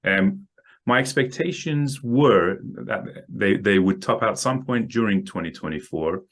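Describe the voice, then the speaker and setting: A man's voice: on the low side, unhurried, fairly bright tone. A man guest talking about markets in a casual interview, hesitant, with many ums and repeated words.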